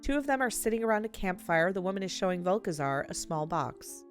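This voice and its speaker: A woman's voice: fairly low pitch, expressive, fairly quick, faint music behind. A woman game streamer reading a visual novel aloud and reacting expressively in a live let's play.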